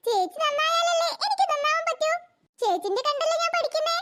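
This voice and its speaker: A performer voicing a small, cheeky, whiny cartoon schoolchild in a pitched-up voice. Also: expressive, a little fast, pushed and bright, full character voice. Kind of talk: cartoon voice acting.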